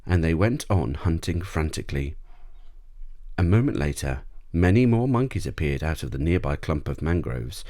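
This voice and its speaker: Deep voiced guy reading an asmr bedtime audiobook, husky, super dry close mic. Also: lively intonation, moderate pace, dark tone, no room sound. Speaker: audiobook narrator. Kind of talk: scripted bedtime story narration, ASMR.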